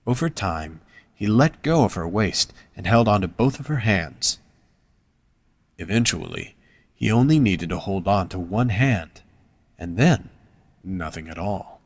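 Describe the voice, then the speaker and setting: Male narrator, low pitch, dry close sound, scripted storytelling. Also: sing-song, a little slow, long pauses, no room sound.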